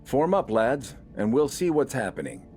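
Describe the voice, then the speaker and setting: A man voicing a steady, easygoing older village militia leader with a calm, wry authority in an audio story. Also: mid-range voice, moderate pace, faint music behind, voice pushed up. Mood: brisk, commanding.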